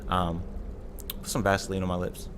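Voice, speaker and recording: A man with a slightly raspy voice giving casual live game commentary as a streamer, rambling and correcting himself as he thinks aloud. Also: low voice, monotone, moderate pace, noisy background.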